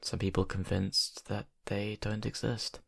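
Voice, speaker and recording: A man's voice: fairly low pitch, flat delivery, a little slow, creaky, fairly bright tone, slightly rough, heavily acted. A man playing a brooding young vampire confiding in his best friend in an ASMR roleplay, hesitant and intimate. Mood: brooding, guarded.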